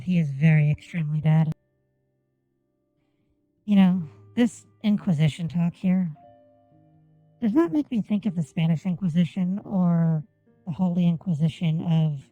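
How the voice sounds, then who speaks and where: A woman whose first language is Japanese, chatting on a game stream and enunciating each word deliberately into a desk microphone. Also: lowish voice, warm tone, no room sound, lo-fi sound, faint music behind. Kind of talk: casual gameplay stream chat. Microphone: desk microphone on an arm.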